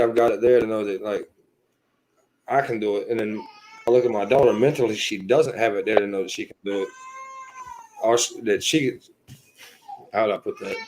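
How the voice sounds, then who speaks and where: A man in his thirties, a podcast guest, speaks in a fairly low voice, earnest and halting. He keeps circling back with "you know" as he works through his thoughts. A faint hiss sits under the recording. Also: a little mellow, poor audio. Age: 30s. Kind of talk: personal story in a podcast interview.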